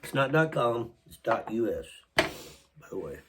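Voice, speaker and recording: Brief: sick, hoarse man with a Southern US accent, slow unhurried unboxing chat, frequent throat clearing, faint noise in background. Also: mid-range voice, big pitch swings. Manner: halting, coughing and clearing throat often. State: sick with a cold, voice partly lost. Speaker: channel host. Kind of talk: casual unboxing vlog.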